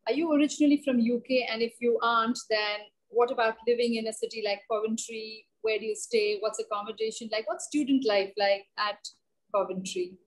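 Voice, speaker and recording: Higher-pitched Indian woman moderating. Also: steady tone, fairly quick, poor audio. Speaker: panel moderator. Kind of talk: conversational questioning on a video call. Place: indoor room, video call.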